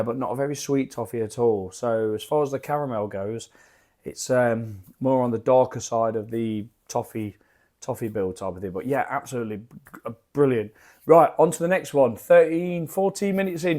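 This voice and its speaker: Croaky man reviewing beer. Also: mid-range voice, normal speed, darkish tone. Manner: rambling, chatty, self-deprecating. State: croaky from a lingering cough. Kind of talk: casual chatty beer review. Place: home bar. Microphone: desk microphone.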